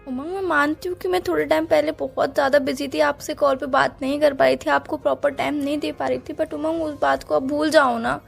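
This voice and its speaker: A woman's voice: sits high, steady tone, fairly quick, creaky, few pauses, soft background music. A woman with an Indian accent playing a hurt, apologetic young student girlfriend, pleading in a phone-call romance drama. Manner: pleading, plaintive.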